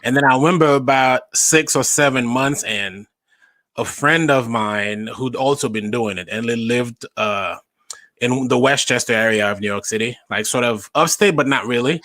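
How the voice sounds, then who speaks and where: Bright-voiced man from Haiti. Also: mid-range voice, moderate pace, dry recording. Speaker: stand-up comedian guest. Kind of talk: casual interview talk.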